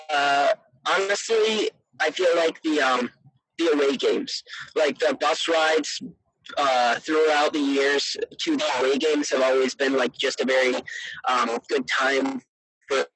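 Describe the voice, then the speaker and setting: Teen male athlete guest, husky unpolished voice, earnest, halting casual interview answers. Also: medium pitch, fairly even intonation, a bit bright, no room sound, rough recording. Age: teenager. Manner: hesitant, earnest, peppered with "you know".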